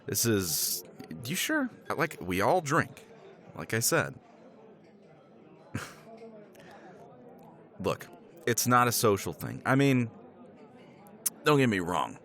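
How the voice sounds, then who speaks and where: A man's voice: mid-range voice, sing-song, takes it slowly, pauses often, fairly bright tone. A man playing a tipsy middle-aged professor in a romance roleplay, rambling with chuckles and casual swearing. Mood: persuasive. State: tipsy from scotch. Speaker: roleplay character.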